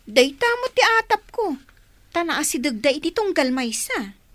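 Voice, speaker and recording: An actress playing a cheeky young student daughter in a radio drama. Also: female voice, high voice, big pitch swings, normal speed, a bit bright, dry recording.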